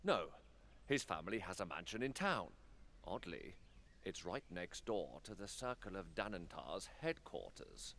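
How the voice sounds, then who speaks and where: A man's voice: sits low, very animated, measured pace, fairly bright tone, heavily acted. A man playing an earnest, harried council statesman in a fantasy game, speaking with weary urgency. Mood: puzzled, urgent. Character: earnest, harried male council statesman under political pressure.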